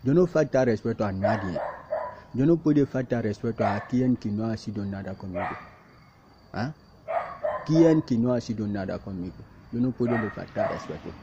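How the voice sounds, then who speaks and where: A man speaking halting, repetitive second-language Spanish, insisting and defending himself in a self-recorded plea, outdoors in a field. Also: lowish voice. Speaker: migrant speaking to camera. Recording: muffled with clear background noise and barking dog.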